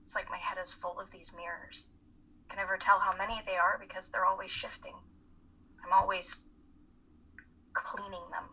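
A woman playing an anxious, self-critical college student in a play reading, husky and hesitant. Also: mid-range voice, level tone, moderate pace, long pauses, pushed and bright, muffled recording. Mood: anguished confiding. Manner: hesitant, self-conscious confiding. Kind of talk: dramatic reading of a stage play.

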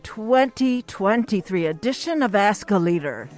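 A woman's voice: medium pitch, animated, a little slow. A woman hosting a radio talk show, reading her guest's introduction and then interviewing her.

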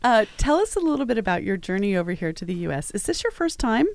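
A woman's voice: mid-range voice, big pitch swings, fast, creaky, no echo. A woman hosting a studio interview, who welcomes her guests and prompts them with friendly questions. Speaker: interview host.

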